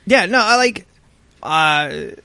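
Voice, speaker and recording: Slightly high-voiced man with a creaky edge. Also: expressive, slow, crowd noise. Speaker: podcast co-host. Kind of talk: casual chat.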